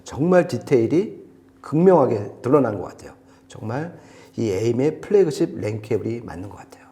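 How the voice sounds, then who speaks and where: A man's voice: mid-range voice, expressive, brisk, warm tone. A man chatting through a product review in an enthusiastic, emphatic way. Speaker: audio gear reviewer on a shop's video show.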